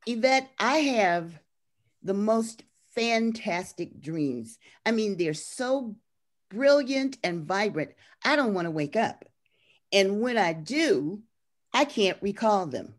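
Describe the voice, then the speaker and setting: A woman's voice: mid-range voice, lively intonation, takes it slowly. A woman in the audience asks a question in an earnest way. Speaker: audience member. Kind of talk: asking a question.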